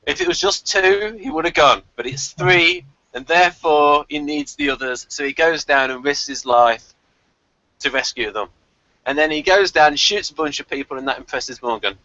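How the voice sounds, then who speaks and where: Male review-show host, forceful bright voice, chatty and emphatic, casual discussion over lo-fi call audio. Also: on the high side, moderate pace, no room sound.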